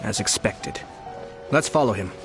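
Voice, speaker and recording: An actor playing a composed, calculating schemer in a video game, speaking in a measured, formal, coolly confident way. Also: male voice, fairly low pitch, animated, over music.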